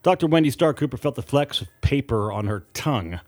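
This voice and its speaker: A man reading scripted horror fiction as an audiobook narrator, in a dramatic, deliberate storytelling manner with weighted pauses. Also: mid-range voice, lively intonation, darkish tone, soft background music.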